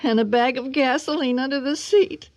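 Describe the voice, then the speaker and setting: A woman's voice: sits high, moderate pace, a little mellow, no echo. An actress playing an earnest, shaken woman in a radio thriller, with dramatic delivery. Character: shaken, earnest woman who escaped murder.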